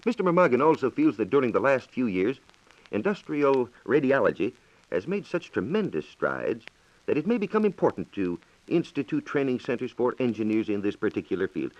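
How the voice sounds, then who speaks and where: A man's voice: mid-range voice, very animated, a little fast, darkish tone. An American man reporting on science in a scripted, formal and precise 1940s radio style, on a dull, echoey old recording.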